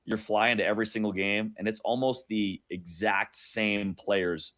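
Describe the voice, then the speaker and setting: A man speaks as a guest on sports radio. His voice is on the low side, and he gives casual commentary as a play-by-play announcer would in an interview. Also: steady tone, unhurried, a bit bright.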